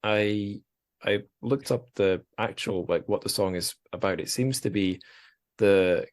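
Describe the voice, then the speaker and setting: Low-voiced man chatting on a podcast. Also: unhurried. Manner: informal, reflective, sweary. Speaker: podcast host. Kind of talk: casual podcast chat.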